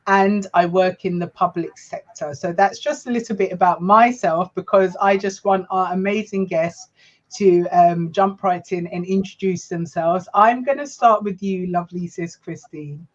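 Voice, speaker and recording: A woman's voice: on the low side, normal speed. A woman with a British accent, born in Ghana, who co-hosts with effusive, gushing enthusiasm. Her voice sounds dull and lo-fi, like an online call. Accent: British English. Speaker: co-host.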